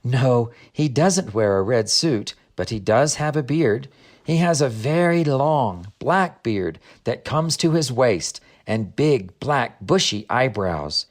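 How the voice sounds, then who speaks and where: A man's voice: mid-range voice, lively intonation, slow pace. A man voicing a friendly woman guide in an audiobook story, patiently explaining things. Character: Greta, friendly woman guide explaining her land's customs. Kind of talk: audiobook character dialogue.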